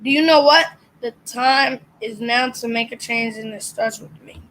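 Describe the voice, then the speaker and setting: A young reader reading a picture book aloud, carefully and with occasional stumbles on words. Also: female voice, a little high, moderate pace, fairly bright tone, a little hiss or hum, low-quality recording. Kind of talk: slow read-aloud.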